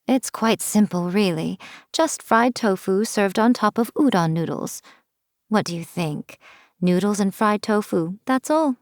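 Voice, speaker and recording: A dry, close recording of a sly fox-spirit shrine maiden from a video game, acted by a woman. She speaks at a medium pitch with theatrical self-assurance. Her delivery is playful and haughty, always teasing. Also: unhurried, a little mellow, no room sound, natural voice. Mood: haughty, amused. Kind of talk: scripted video game character voice lines.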